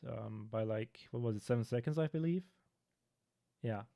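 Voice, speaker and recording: Young male streamer, dry monotone, mid-pitched voice, casual chat. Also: normal speed, vocal fry.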